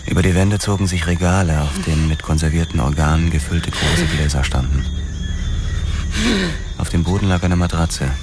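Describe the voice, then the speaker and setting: An actor playing a police detective who narrates a grim crime radio drama in a sombre, deliberate, terse way. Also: male voice, extremely low pitch, steady tone, noisy background. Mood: grim, tense. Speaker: first-person narrator.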